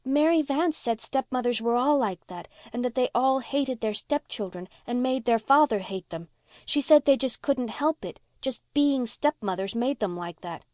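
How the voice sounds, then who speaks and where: Woman voicing an earnest, pleading little girl, pitched high. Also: lively intonation, mellow, no room sound, studio quality, close to an everyday voice. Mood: anxious pleading. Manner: breathless, pleading, halting when anxious. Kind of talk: audiobook character voice.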